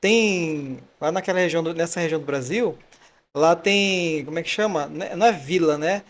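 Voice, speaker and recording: A man hosts a faith podcast with a chatty, welcoming manner. His voice sits a bit high for a man. He rambles at times and stops to hunt for a word. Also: a little fast. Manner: warm welcoming, chatty, searches for words. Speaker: podcast host. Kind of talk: podcast interview.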